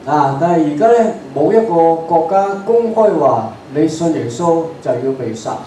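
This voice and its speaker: A man from Hong Kong with a Hong Kong Cantonese accent, preaching a bilingual sermon in short emphatic phrases and pausing for his interpreter. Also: fairly high pitch, animated, mellow. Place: church hall. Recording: very echoey hall, distant mic, faint hiss.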